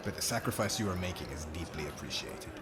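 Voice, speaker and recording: A man playing a solemn, diplomatic king in an ASMR roleplay, speaking in a formal, measured, oratorical way. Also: deep voice, expressive, a loud crowd. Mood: gentle, apologetic. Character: solemn diplomatic young king addressing subjects and friend.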